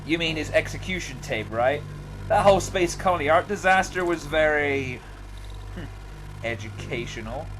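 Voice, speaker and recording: A man's voice: on the high side, lively intonation, normal speed, background noise, close to an everyday voice. A man playing a chatty, wry investigator in a fan audio drama. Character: chatty, quippy male investigator.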